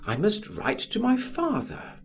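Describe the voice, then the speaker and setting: A man's voice: high voice, wildly swooping pitch, a little slow, voice pushed up, exaggerated voice. A man voicing an ambitious, vain young male journalist who declaims his thoughts aloud in an audiobook. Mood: excited, resolute. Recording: music behind the voice.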